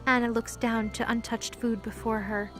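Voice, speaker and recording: A woman narrates a scripted audio drama. Her voice is high in pitch. Faint music plays underneath as she tells the story. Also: little pitch movement, normal speed.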